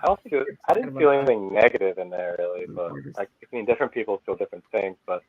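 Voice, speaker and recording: A man's voice: fairly low pitch, animated, fast, dry recording, dull audio. A man with a Maine accent, chatting casually as a podcast guest over a video call, rambling with lots of fillers and laughing at himself. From Maine, USA. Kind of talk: casual chat.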